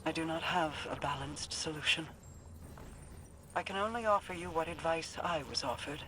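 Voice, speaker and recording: A woman playing a wise, reserved leader in a video game, speaking formally in measured phrases. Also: sits low, bright, forceful tone, low-quality recording. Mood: calm, reflective. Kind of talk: scripted video game dialogue. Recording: clear ambient noise behind.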